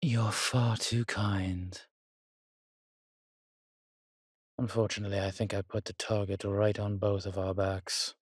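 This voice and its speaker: A man's voice: on the low side, flat delivery, normal speed, husky, no echo, clean studio sound. A man playing a suave, weary vampire with courtly, fond phrasing in an intimate roleplay audio drama. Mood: rueful. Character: weary, suave male vampire, protective and darkly charming.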